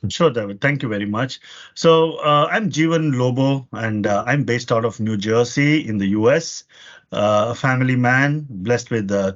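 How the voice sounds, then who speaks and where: A man in his forties, a podcast guest, talking earnestly about his career in long runs full of fillers. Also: mid-range voice. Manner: earnest, talks in long lists with many fillers. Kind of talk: conversational interview answers. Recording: muffled lo-fi audio.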